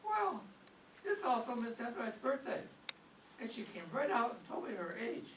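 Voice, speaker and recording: An eager, cheeky high school boy, played by an actor gossips in excitable old-time radio comedy style. The pitch leaps around a lot. The sound is roomy and distant, with faint noise behind. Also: moderate pace, lightly acted. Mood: excited.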